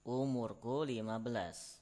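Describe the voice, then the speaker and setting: A man with an Indonesian accent, teaching beginner Arabic by reading short Indonesian phrases as prompts and pausing for answers. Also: medium pitch, dark tone. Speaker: Arabic tutor. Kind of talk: prompting vocabulary drills.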